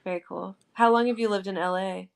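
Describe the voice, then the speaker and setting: A woman's voice: mid-range voice, steady tone, brisk, vocal fry, smooth. A woman in her late twenties talking casually, rambling and filling her sentences with "like" and "you know". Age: 20s. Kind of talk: casual chat.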